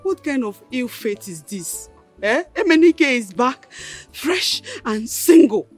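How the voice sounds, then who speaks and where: A woman's voice: high-pitched, sing-song, takes it slowly, husky, soft background music, full character voice. An actress with a Nigerian accent playing a defiant, distraught woman in a family melodrama, repeating her words for emphasis. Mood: bitter, lamenting. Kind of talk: screen drama acting.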